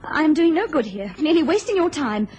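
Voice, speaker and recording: High-pitched, distressed young lady. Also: sing-song, fast talker, a little mellow. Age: young adult. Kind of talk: radio drama acting.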